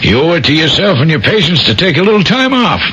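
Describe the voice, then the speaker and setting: An actor playing a genial, avuncular chief of staff in a 1970s radio drama, hearty, paternal and folksy. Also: male voice, a little high, very animated, fast talker, sharp tone. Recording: dull, noisy old radio broadcast recording.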